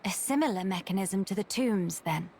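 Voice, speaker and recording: An actress playing a dignified young empress in a video game, firm and gracious, with regal, formal phrasing. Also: female voice, medium pitch, animated, normal speed, creaky, darkish tone, slight background noise. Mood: composed, questioning.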